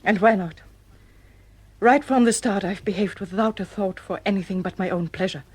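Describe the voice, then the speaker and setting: Breathy, remorseful woman played by an actress. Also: mid-range voice, moderate pace, dry recording, natural voice. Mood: confessional, remorseful. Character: beautiful troubled wife, remorseful, self-reproaching. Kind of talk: audio drama acting, measured and melodramatic.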